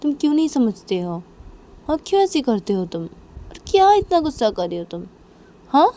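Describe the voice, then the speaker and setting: A woman's voice: lowish voice, expressive, quick pace. A woman voicing an exasperated, pleading woman in an amateur audio story, nagging with insistent, repeated questions. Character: Manisha, exasperated, pleading woman scolding and coaxing her housemate. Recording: noticeable background noise.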